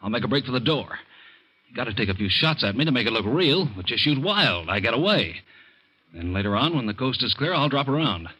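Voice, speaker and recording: A smooth ex-con thief. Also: male voice, mid-range voice, big pitch swings, a little fast, sharp tone, full character voice. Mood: persuasive. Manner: smooth, persuasive and cool. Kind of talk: 1940s radio acting. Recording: muffled 1940s radio broadcast.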